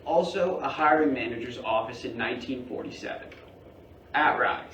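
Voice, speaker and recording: A man's voice: medium pitch, moderate pace, a bit bright. A man giving scripted narration, reading out stage directions at a staged play reading. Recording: echoey room, distant mic, faint noise.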